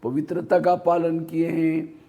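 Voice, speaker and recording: A man's voice: fairly high pitch, flat delivery, unhurried, vocal fry, warm tone. A man giving a spiritual class, telling anecdotes and asking rhetorical questions that he answers himself. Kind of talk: Hindi spiritual discourse.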